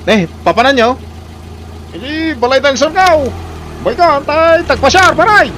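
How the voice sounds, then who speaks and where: A man's voice: very high voice, lively intonation, moderate pace, fairly bright tone, a little hiss or hum. A man with an Ilocano accent playing a hard-drinking husband in an audio drama, boisterous with friends, belligerent or sheepish at home.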